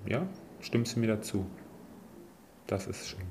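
A man hosting a casual football podcast, chatting in a relaxed way with many fillers. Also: fairly low pitch, measured pace, crowd noise. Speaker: podcast host.

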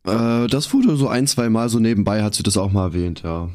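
Young male podcast co-host, hoarse, lowish voice, relaxed slangy delivery. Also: a little fast, no echo, studio quality. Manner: laid-back, slangy, many fillers. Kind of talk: casual chat.

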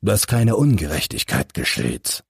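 Man reading a composed, probing gentleman detective. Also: rumbling low, very animated, measured pace, vocal fry, slightly rough, dry recording, clean studio sound, pitched below the performer's own voice, heavily acted. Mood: firm, probing. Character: composed, probing gentleman investigator confronting a suspect.

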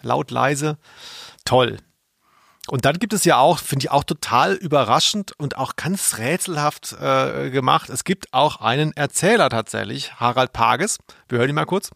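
A man's voice: medium pitch, lively intonation, moderate pace, creaky, a bit bright, no echo, studio quality. A man co-hosting a podcast with casual, joking commentary on audio plays. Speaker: podcast co-host.

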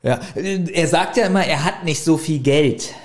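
A man's voice: a little high, moderate pace. A man co-hosting a podcast in casual chat, laid-back, answering with dry remarks and short agreements. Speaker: podcast co-host.

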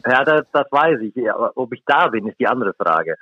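Husky man, dull muffled line. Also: mid-range voice, expressive, brisk. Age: 40s. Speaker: podcast guest. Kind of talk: casual sports commentary chat.

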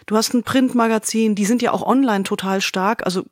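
A woman's voice: mid-range voice, brisk, no room sound, clean studio sound. Enthusiastic woman co-hosting a podcast. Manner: enthusiastic, emphatic. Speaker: podcast co-host. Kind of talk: conversational podcast review.

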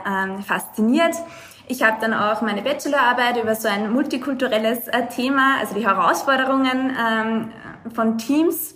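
A young woman from Lower Austria with an Austrian accent, telling her story as a podcast guest over a video call, with many hesitations. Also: a bit bright. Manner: hesitant, many filler sounds. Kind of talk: conversational storytelling.

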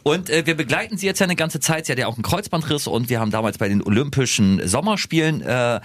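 A man's voice: medium pitch, animated, fast talker, no room sound. A young man co-hosting a podcast in casual chat, eager and enthusiastic, often stumbling and restarting his sentences. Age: young adult. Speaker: podcast co-host.

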